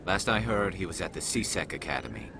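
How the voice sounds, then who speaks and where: A man's voice: deep voice, sing-song, fairly quick. A man voicing a determined, earnest alien police investigator in a video game, speaking intently over ambient noise. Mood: intent, resolute.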